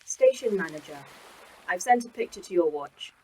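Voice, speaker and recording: A woman's voice: lowish voice, expressive, normal speed, a little mellow, husky, rough recording. An actress voicing a fussy, earnest female facility AI that fixates on small choices, in an audio drama. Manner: earnest, pedantic, oddly childlike. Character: fussy female facility AI, indecisive about colours.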